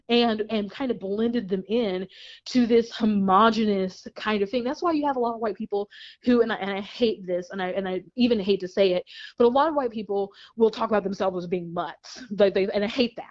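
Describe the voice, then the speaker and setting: A woman's voice: medium pitch, a little mellow. Woman, interview guest, chatty and discursive, lots of restarts, dull lo-fi audio. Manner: many restarts and repeated words, laughs between points. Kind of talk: explaining history in casual conversation.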